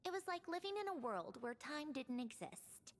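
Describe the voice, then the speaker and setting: A wistful young game heroine. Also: female voice, high voice, sing-song, moderate pace. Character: calm wistful young woman in a fantasy game. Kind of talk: game voice acting.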